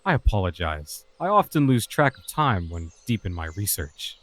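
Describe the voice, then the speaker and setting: A man playing a composed, enigmatic lordly scholar in a fantasy audio drama, speaking in a measured, formal way. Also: on the low side, very animated, a bit bright, dry recording. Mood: polite.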